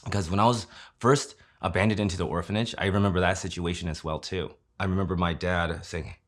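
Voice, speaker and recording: Man in his late thirties, low voice, casual and candid telling his story. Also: fairly even intonation, fast talker. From Kansas City, Missouri, USA. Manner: conversational, often adds tag questions and fillers. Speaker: interviewee. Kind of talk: personal storytelling interview.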